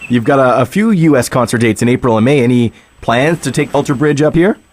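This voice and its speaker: A man's voice: medium pitch, a little fast, slight background noise. Conversational radio host, man. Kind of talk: radio interview questions.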